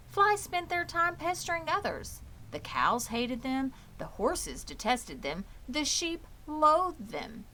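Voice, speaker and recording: A woman reading a children's story aloud as scripted narration, with expressive storytelling delivery. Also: medium pitch, slight background noise. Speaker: audiobook reader.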